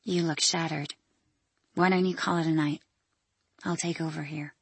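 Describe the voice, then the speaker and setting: A woman voicing a kind young man who works in a hospital, speaking calmly and reassuringly. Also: low voice, moderate pace, slightly rough, dry recording, full character voice.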